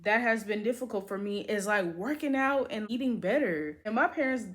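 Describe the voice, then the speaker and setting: A woman's voice: medium pitch, normal speed. A woman in her twenties talking casually to camera in an upbeat, chatty way, with lots of filler words. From United States. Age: 20s. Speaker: beauty vlogger.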